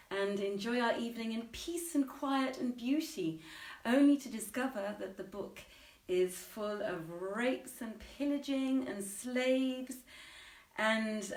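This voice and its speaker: Chatty woman in an echoey room, distant mic. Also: medium pitch. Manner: chatty, digressive, greeting viewers mid-story. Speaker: theatre director hosting live readings. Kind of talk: casual live-stream chat introducing a story reading. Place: indoor room, live online stream. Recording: roomy echo, distant from microphone.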